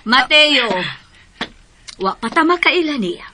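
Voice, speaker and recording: A woman's voice: high-pitched, expressive, slow, sharp tone, slight background noise, full character voice. A woman playing a stern, protective mother in a radio drama. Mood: disapproving.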